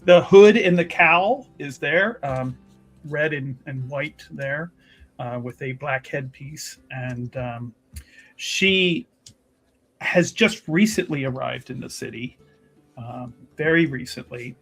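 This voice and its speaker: A man's voice: medium pitch, takes it slowly, a bit bright. A man playing a tabletop game, describing his character in hesitant, piecemeal phrases. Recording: music playing behind the voice.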